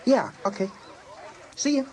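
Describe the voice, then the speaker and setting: An awkward, hesitant American high school boy in an audiobook drama. His pitch moves around a lot, with clear noise behind. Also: big pitch swings, measured pace, a little mellow, full character voice. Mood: nervous, awkward.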